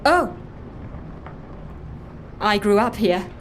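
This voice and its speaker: A woman playing an eager, quirky middle-aged islander in an audio drama, hesitant yet excitable, with deadpan humour. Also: mid-range voice, extremely slow, a little hiss or hum. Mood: wondering, nervous. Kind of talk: audio fiction drama acting.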